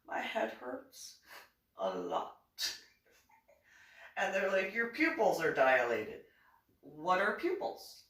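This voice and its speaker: A woman's voice: medium pitch, drawn-out words, pauses often, recorded from a distance. A woman veteran telling a personal story in a casual monologue, chuckling often and acting out the other people in it. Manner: animated, chuckles often, acts out other voices. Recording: echoey room, voice off-mic.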